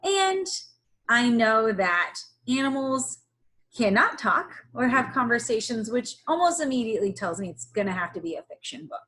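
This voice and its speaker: A woman teacher giving a read-aloud lesson to young children, pausing to ask them questions in an instructional way. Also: a little high, sing-song, a bit bright, poor audio.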